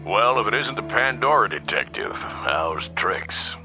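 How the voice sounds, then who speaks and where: A man's voice: lowish voice, big pitch swings, measured pace, over music. An actor playing a sarcastic police detective needling his buddy in a radio drama. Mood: sarcastic banter.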